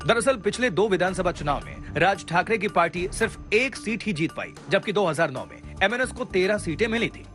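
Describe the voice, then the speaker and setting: A male news reporter reads a scripted TV report in a high, forceful voice. His delivery is punchy and dramatic, and music plays behind him. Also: expressive, brisk, hardly any pauses.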